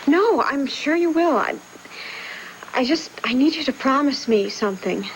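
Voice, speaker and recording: A woman's voice: a little high, expressive, normal speed, a little hiss or hum, natural voice, low-quality recording. An actress playing an anxious, determined expectant mother in a television soap, earnest and hesitant, often pleading. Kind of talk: television soap drama acting.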